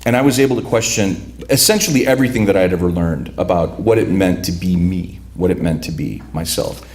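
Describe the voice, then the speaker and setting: A man's voice: sits low, a little mellow. A man reading aloud from his own prose at a literary event, speaking reflectively and often restarting his sentences. His first language is Lithuanian. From United States, raised among Lithuanian migrants. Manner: reflective, halting with repeated restarts. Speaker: author.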